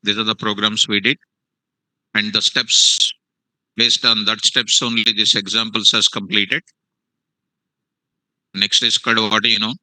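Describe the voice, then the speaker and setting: A male teacher gives a live programming lecture to a class. His voice is sharp and bright, a little low in pitch, and he says each line again slowly so students can write it down. The sound is dry and close. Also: flat delivery, no echo, rough recording. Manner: repeats phrases slowly for dictation. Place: classroom, also streamed to online students. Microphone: online meeting.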